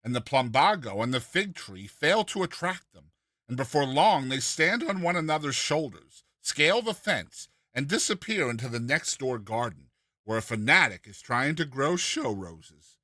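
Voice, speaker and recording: Male narrator for humorous short fiction: crisp forward brightness, mock-earnest theatrical reading, very dry studio-like sound. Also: mid-range voice, sing-song, moderate pace, no echo. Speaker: reader and narrator of a short story. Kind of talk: dramatized storytelling narration of a humorous classic essay.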